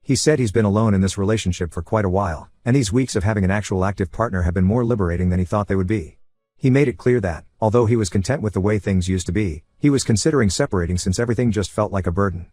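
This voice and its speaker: A man reading a first-person online story aloud as scripted narration, in a quick, even storytelling manner. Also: lowish voice, no room sound, clean studio sound. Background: faint background music.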